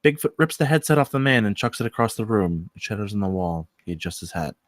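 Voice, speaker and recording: A man's voice: lowish voice, lively intonation, fast, dry recording, clean studio sound. A man reading stage directions as scripted narration at a comedy screenplay table read.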